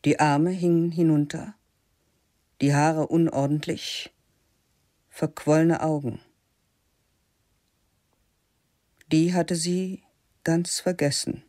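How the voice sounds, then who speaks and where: A woman's voice: low voice, steady tone, takes it slowly, long pauses, dark tone, no echo, clean studio sound. A woman in her fifties playing a stern, aging biology teacher who narrates in a cool, clipped, detached way in a radio play. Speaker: narrator and lead character.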